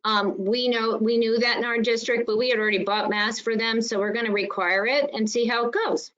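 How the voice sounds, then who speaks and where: A woman's voice: on the high side, fairly even intonation, fairly quick, a bit bright. A woman speaking informally as a nurse on an online panel, explaining things in an emphatic way. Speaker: school nurse coordinator on a panel. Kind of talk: informal spoken presentation and discussion in an online meeting. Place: online meeting call. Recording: dull, muffled online meeting audio.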